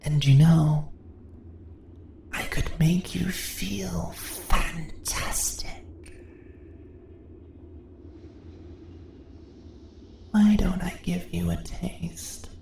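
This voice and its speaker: A woman's voice: lowish voice, fairly even intonation, slow, creaky. A woman playing a seductive, dominant spider-woman monster in a fantasy ASMR roleplay, teasing her captured prey in a purring voice that often drops into whispers. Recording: roomy echo, faint background noise.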